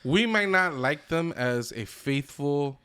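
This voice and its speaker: A man's voice: fairly high pitch, animated, slow, pushed and bright, smooth. A man co-hosting a podcast in casual group chat, giving emphatic opinions and swearing freely. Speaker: podcast co-host.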